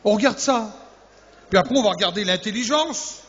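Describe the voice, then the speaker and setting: A man's voice: high voice, animated, moderate pace, a bit bright. A male youth pastor preaching to young people, teasing and humorous, firing off rhetorical questions and repeating key words for emphasis. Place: church hall. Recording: echoey hall, dull lo-fi sound.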